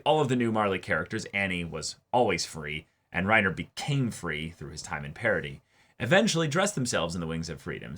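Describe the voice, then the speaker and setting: A man with a fairly low but bright, punchy voice hosts a reaction show. His delivery is animated and varied in pitch as he moves between reading comments aloud and talking them over casually. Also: breathy edge. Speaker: host of an anime reaction show.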